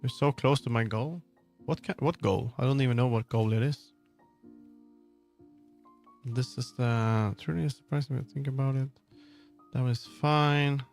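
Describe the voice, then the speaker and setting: A man chatting casually on a livestream as he works, often halting and muttering to himself. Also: mid-range voice, a little mellow. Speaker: livestreamer making a game. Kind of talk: casual livestream chat while working. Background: background music.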